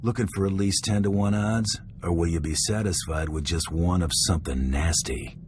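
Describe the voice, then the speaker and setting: A cocky bounty hunter from a game cutscene, acted by a man, with an extremely low voice. He speaks tersely, with dry confidence and a sardonic edge, over faint background noise. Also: moderate pace, close to an everyday voice. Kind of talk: video game cutscene acting.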